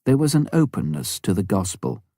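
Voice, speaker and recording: A man reading a missionary story as an audiobook narrator, with a measured storytelling delivery. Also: on the low side, big pitch swings, warm tone, dry recording, clean studio sound.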